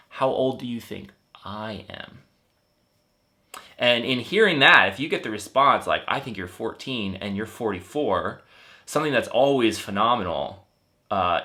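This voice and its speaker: A man in his thirties, a life coach, gently and earnestly explaining a therapy method, with frequent fillers and restarts. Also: lowish voice. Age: 30s. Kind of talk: explanatory teaching talk.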